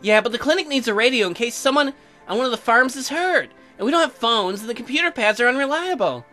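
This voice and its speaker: Man putting on a high, girlish young wife. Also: animated, fast talker, pushed and bright, exaggerated voice. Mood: testy. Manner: high-pitched girlish put-on, narrating and bickering. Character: young newlywed wife, colonist mechanic, chirpy but testy. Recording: music behind.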